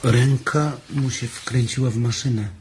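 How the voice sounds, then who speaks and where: An actor playing a simple-minded, innocent farm labourer in a radio drama, speaking in an earnest, childlike way. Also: male voice, medium pitch, fairly even intonation, measured pace, darkish tone, close to an everyday voice. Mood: guarded. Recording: noisy radio play recording.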